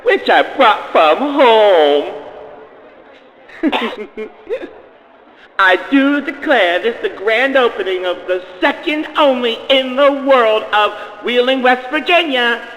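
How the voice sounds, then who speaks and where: A man's voice: extremely high pitch, lively intonation, slow pace, a bit bright, heavily acted, rough recording. A man voicing a vain, needy young beauty queen in a theatrical comedic radio play, swinging from sweet to hysterical. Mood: proud, gracious. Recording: music behind the voice.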